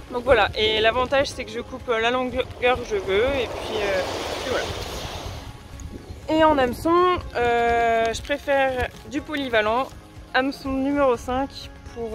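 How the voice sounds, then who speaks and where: A woman in her thirties from France, talking casually to camera on a beach, hesitant with many fillers and restarts. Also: fairly high pitch. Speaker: sea angler explaining her rigs. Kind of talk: casual gear explanation to camera. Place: outdoors on a beach by the sea. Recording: music playing underneath.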